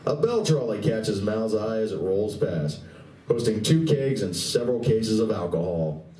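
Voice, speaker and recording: A man's voice: fairly low pitch, expressive, moderate pace, dark tone, lightly acted. A man narrating a scripted live radio play as a wry storyteller. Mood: descriptive. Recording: roomy live venue, distant mic, faint noise.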